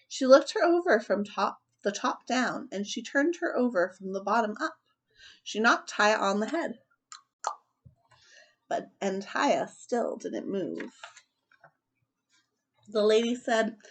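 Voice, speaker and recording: A woman hosting a children's story time, chatting casually and reading aloud in an expressive, emphatic way. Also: a little high. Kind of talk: casual chat and animated storybook read-aloud.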